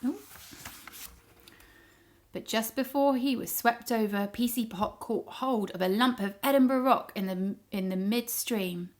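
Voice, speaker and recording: A woman reading a story aloud to young children in an expressive storytelling style. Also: a little high. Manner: pauses to ask the child listeners questions.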